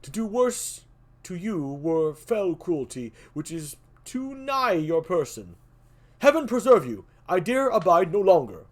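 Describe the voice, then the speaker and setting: A woman voicing an anxious, humble male messenger in a raised, urgent, hurried voice while reading a play aloud. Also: lowish voice, big pitch swings, voice pushed up.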